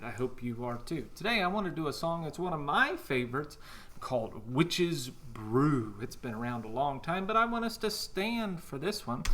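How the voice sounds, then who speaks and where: A man's voice: mid-range voice, fairly bright tone. A man teaching a cheerful children's music lesson on video, encouraging the kids watching at home.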